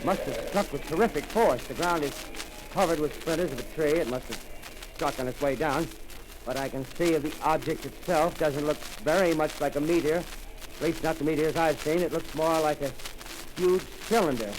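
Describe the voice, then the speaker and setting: An actor playing a radio commentator at a crash site in a 1930s radio drama, describing the scene live in an eager, halting way with many fillers, heard in a noisy old broadcast recording. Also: male voice, a little high, close to an everyday voice. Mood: eager, breathless. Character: excited male radio field reporter at a crash site.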